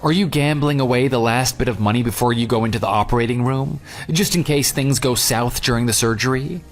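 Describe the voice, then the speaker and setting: An actor playing a vengeful jilted ex-fiancé in an audio drama, with a sarcastic, taunting, smug delivery. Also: male voice, medium pitch, brisk, faint music behind, lightly acted. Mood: mocking. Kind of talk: dramatized phone dialogue.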